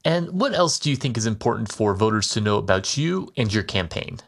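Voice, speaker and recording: Lower-voiced man asking interview questions. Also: sing-song, a little fast, vocal fry. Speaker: news interviewer.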